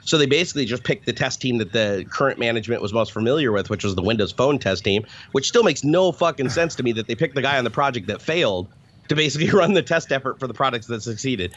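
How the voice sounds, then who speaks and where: A man's voice: mid-range voice, animated, fast talker, fairly bright tone. A man co-hosting a casual chat over a video call, talking in a profane, rambling way. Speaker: podcast co-host, former software tester. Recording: dull lo-fi call audio.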